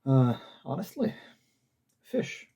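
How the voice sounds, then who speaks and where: A man's voice: medium pitch, very animated, slow pace, mellow, slightly rough, distant mic, exaggerated voice. A man playing a bewildered, overwhelmed main character in a fiction reading. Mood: hesitant. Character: bewildered narrator, overwhelmed by magic.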